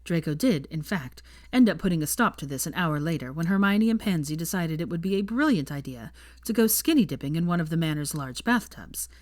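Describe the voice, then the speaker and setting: A woman's voice: low voice, animated, a little fast, no echo, clean studio sound. A woman reading a story aloud as scripted audiobook narration. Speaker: fan fiction audiobook narrator.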